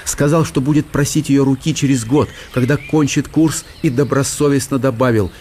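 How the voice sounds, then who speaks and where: A man reading literary narration for a radio play in an expressive, theatrical storytelling manner. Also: medium pitch, normal speed, a little hiss or hum. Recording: studio radio play with faint music.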